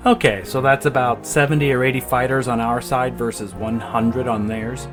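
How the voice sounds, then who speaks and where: A man's voice: medium pitch, steady tone, moderate pace, over music, natural voice. A man playing a pessimistic, sardonic fighter pilot in a sci-fi audio drama, dry and grumbling.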